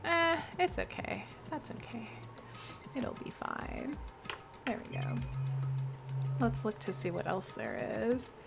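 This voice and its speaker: A woman's voice: fairly high pitch, big pitch swings, slow, gravelly, long pauses, over music. A young woman streaming a game, chatty and laughing often, greeting viewers by name, her voice congested with a sore throat. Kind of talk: casual game stream chat.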